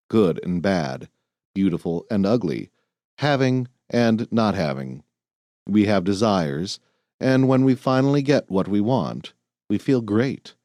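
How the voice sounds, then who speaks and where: A man reading a nonfiction audiobook in a measured, earnest, clearly phrased way. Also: on the low side, dark tone, no echo, clean studio sound. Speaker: audiobook narrator. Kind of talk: scripted nonfiction audiobook narration.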